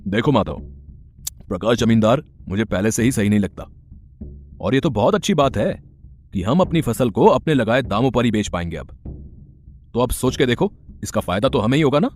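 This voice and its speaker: Blunt, self-assured villager, voiced by a man, medium pitch, moral story over faint music. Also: animated, moderate pace, long pauses, darkish tone, lightly acted. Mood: firm. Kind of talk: Hindi moral story voice acting.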